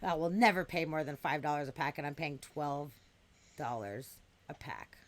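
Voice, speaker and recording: A raspy-voiced woman from the US West Coast in her thirties, a podcast guest chatting casually and bluntly, swearing freely as she tells stories. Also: low-pitched, normal speed. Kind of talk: casual chat.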